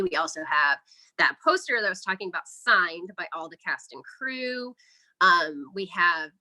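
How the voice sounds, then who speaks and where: Bright-voiced woman chatting as a guest. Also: lowish voice, rough recording. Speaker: interview guest, indie horror filmmaker and podcaster. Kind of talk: casual promotional interview chat.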